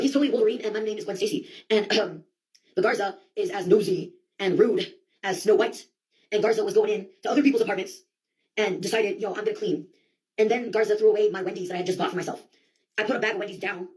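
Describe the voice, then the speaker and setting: A woman's voice: mid-range voice, fairly even intonation, fast, a little mellow, rough recording. A woman telling a rambling comic monologue in a theatrical, slangy, sweary way. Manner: sweary, theatrical, slangy delivery with quoted outbursts.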